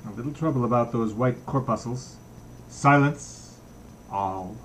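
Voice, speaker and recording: A man's voice: mid-range voice, unhurried, a bit bright, natural voice, poor audio. Guy reading a novel as a brash jokey young man, teasing and declaiming, bit of noise behind. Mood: mock preaching. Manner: declaiming, mock-solemn, teasing. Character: brash, mocking, theatrical young man, jovial and playful.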